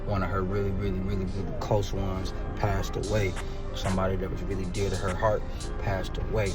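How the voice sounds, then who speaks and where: A man in his twenties telling a dating story in a crude, animated rant, dropping to low mutters and rising to loud outbursts. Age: 20s. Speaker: dating commentator.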